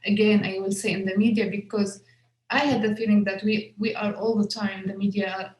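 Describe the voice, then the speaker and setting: A woman's voice: medium pitch, level tone, normal speed, a little mellow, roomy sound, rough recording. Hesitant woman speaking English as a second language. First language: Arabic. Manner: hesitant, many fillers and restarts. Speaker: film director. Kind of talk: festival Q&A conversation.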